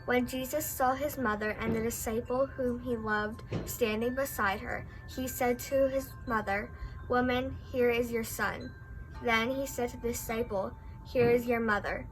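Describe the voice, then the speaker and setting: Female lay scripture reader, fairly high voice, faint music behind. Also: fairly even intonation, unhurried, poor audio. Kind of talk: scripture reading.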